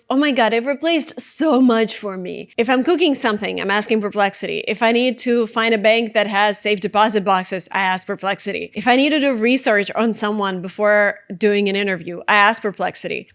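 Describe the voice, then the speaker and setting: A woman, giving casual, emphatic, conversational advice as a video creator. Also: medium pitch. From Russia. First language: Russian. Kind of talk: casual talking-head advice vlog.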